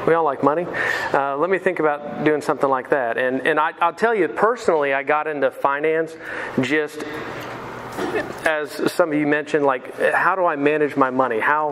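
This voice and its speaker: A man's voice: fairly high pitch, normal speed, background noise. A man in his thirties, originally from Pensacola, Florida, giving a casual finance seminar as a mortgage sales manager. He is chatty and self-deprecating and keeps asking the audience questions. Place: lecture room.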